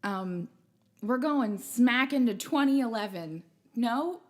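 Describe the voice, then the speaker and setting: A woman's voice: on the high side, expressive, slow, a little mellow. A woman hosting a livestream concert, playful and rambling with jokey asides. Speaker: host and singer.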